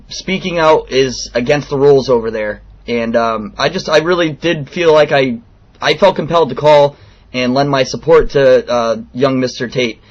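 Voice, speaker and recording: A man in his late twenties speaks in a fairly high voice on a muffled, lo-fi call. He is earnest and persistent, stumbling through an informal complaint as he keeps pushing his point. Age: late 20s. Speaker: radio show host calling in a complaint. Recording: dull, lo-fi phone call recording.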